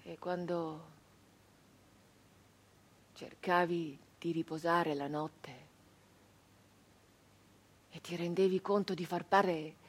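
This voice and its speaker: An actress playing an embittered, wounded brigand woman of the nineteenth century in a theatrical monologue, speaking in halting, emphatic short phrases with a husky voice. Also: lowish voice, fairly even intonation. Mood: haunted, hushed. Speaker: stage actress.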